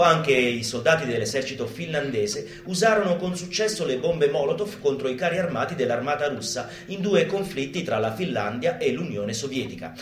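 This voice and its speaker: A man delivers a mock instructional monologue in a live theater. His pitch sits in the middle but moves with great animation, and he is emphatic, with deliberate dramatic pauses. The room echoes around him, and live guitar music plays faintly behind. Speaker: stage performer. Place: indoor theater stage. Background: live guitar and bass music.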